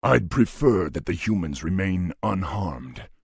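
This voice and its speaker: A commanding orc war chief from a fantasy game, with a deep voice and a rough husk. He is stern and deliberate, in a dry recording. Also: very animated, no echo, exaggerated voice. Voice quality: gravelly, husky. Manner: authoritative, deliberate. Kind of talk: video game voice acting.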